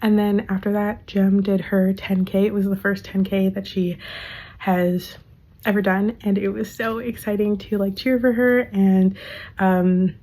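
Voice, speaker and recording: A young woman, chatting casually about her monthly favorites, gushing and filler-heavy, her voice worn and hoarse. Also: medium pitch, steady tone, measured pace, warm tone. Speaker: vlogger.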